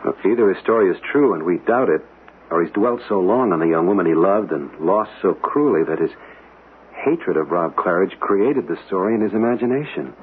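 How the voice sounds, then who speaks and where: An actor playing an earnest, courteous gentleman in a ghost mystery, measured and polite in a radio drama. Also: low-pitched, expressive. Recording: narrow, noisy old radio broadcast.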